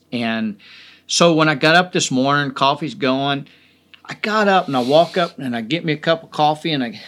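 A man's voice: medium pitch, a bit bright. A middle-aged man hosting his own podcast, talking casually with a lot of swearing, restarts and emphatic repetition. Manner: profane, rambling, emphatic with many restarts. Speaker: podcast host. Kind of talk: casual solo podcast monologue.

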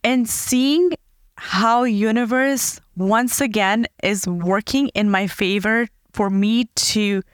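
Earnest woman with a smooth voice. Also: mid-range voice, dry recording, studio quality. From Fiji. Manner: earnest and heartfelt, slowing to dwell on words. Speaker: podcast host. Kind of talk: reflective personal monologue.

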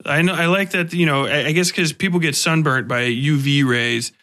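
A man hosting a casual interview, talking in an animated, freely swearing way and acting out little sound effects. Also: on the high side, moderate pace. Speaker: podcast host interviewing a guest.

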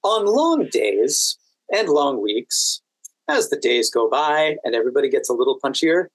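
A man's voice: medium pitch, very animated, moderate pace, no echo, poor audio. American man telling anecdotes, close dry sound. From Washington DC, United States. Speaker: podcast guest. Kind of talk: anecdotal interview conversation.

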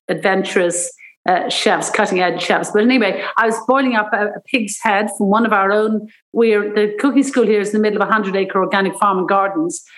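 A woman's voice: mid-range voice, low-quality recording. Chatty Irish woman in her seventies. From Ireland. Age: 70s. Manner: chatty, rambling, acts out quoted voices. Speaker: cooking school founder and author. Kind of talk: interview, anecdotal storytelling.

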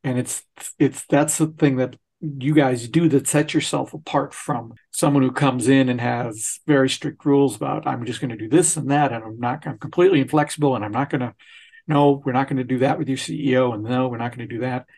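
A man's voice: mid-range voice, fairly even intonation, brisk, gravelly, a little mellow. A man co-hosting a podcast interview, speaking in a casual, conversational style. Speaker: podcast co-host.

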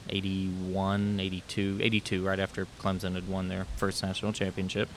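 A man's voice: low-pitched, completely flat delivery, moderate pace. A man in his fifties from the United States, talking casually as an interview guest and reminiscing. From US: born in New York, raised in Florida and South Carolina. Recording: clear background noise.

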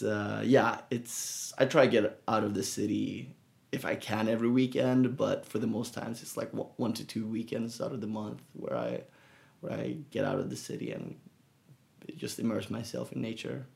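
A man from Sweden with a Swedish accent, a guest speaking in a relaxed, thoughtful way with many fillers and restarts in a casual interview. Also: fairly low pitch, normal speed, vocal fry. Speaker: guest photographer.

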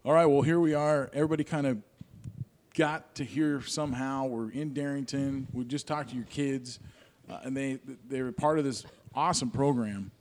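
A man's voice: mid-range voice, fairly even intonation, normal speed, darkish tone, a little hiss or hum. A man hosting a casual interview, friendly and chatty, laughing easily and using plenty of fillers. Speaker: podcast host.

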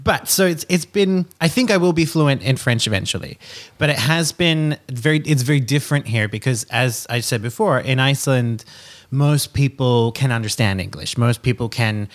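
A man chatting casually, talkative and animated, often breaking into laughter as he tells stories. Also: medium pitch, moderate pace. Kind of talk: casual chat.

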